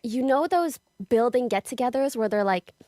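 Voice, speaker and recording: This woman has a bright, fairly high voice that swings widely in pitch. She chats in a loose, giggly way. The sound is dry and close to the mic. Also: expressive, moderate pace, no echo. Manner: giggly, chatty. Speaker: streamer. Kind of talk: casual chat.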